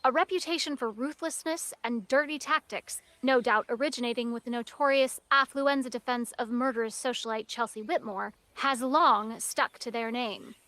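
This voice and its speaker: A serious tv reporter, high voice, polished measured live news delivery. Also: female voice, bright, forceful tone, no echo. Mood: formal, serious. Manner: measured, emphatic broadcast delivery.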